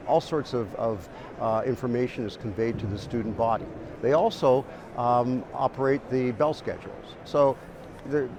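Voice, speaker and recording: A man speaks at a middle pitch, explaining things like an expert in an interview. He is halting and repeats words as he works through each point. Clear background noise runs behind him. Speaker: industry expert being interviewed.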